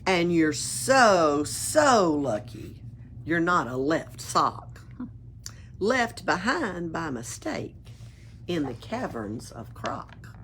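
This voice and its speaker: A woman reading a children's storybook aloud in an expressive, playful way, adding her own asides. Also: low voice, very slow, a little hiss or hum. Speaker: story reader at a library. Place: library.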